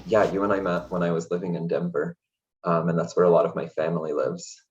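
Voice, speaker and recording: A man from Hungary, a guest in an interview, speaking in a relaxed, chatty way with many fillers over a video call. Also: sits low, a little fast, dull audio. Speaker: interview guest, language access programs director. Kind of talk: casual interview conversation.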